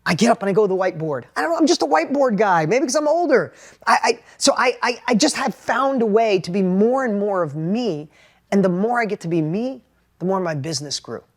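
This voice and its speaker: A middle-aged man with a New York accent and a high-pitched voice, giving an animated, plainspoken motivational talk about sales. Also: a little fast. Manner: animated, plainspoken, repeats and restarts words. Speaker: entrepreneur and sales educator. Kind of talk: motivational talk with personal story.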